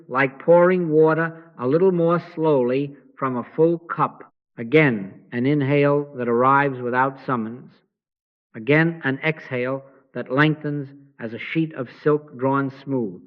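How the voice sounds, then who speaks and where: Hushed man guiding a slow meditation. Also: a little high, phone-quality sound. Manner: slow, hushed, gently instructive. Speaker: meditation guide.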